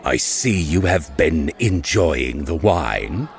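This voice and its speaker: An actor playing a haughty aristocratic lord in an audiobook, creaky-voiced, stiff and coolly condescending in his politeness. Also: rumbling low, animated, takes it slowly, soft background music, full character voice. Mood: stiff, coolly polite. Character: haughty, cool aristocratic lord, host of a ball. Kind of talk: full-cast audiobook acting.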